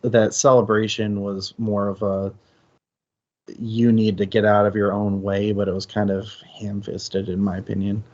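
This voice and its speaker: A man hosts a casual book discussion in a low, level voice. He takes his time, thinking aloud with asides. The sound is slightly dull and lo-fi, like online stream audio. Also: level tone, normal speed, darkish tone.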